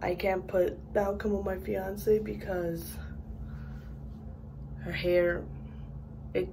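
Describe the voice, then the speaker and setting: Raspy-voiced young woman, just woke up. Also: mid-range voice, level tone, takes it slowly, background noise. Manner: chatty, rambling with fillers. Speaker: beauty vlogger. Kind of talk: casual hair product review. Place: small bathroom, indoors. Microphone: phone camera at arm's length.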